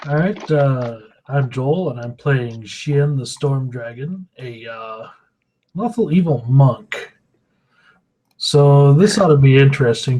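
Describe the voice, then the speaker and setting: A man's voice: mid-range voice, dark tone, a little hiss or hum, poor audio. A man playing in a tabletop game, joking crudely and chatting casually. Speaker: player.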